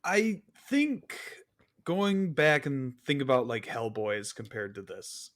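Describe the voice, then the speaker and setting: A man speaking as a podcast guest in a casual film review chat, candid and conversational with rhetorical asides. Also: mid-range voice.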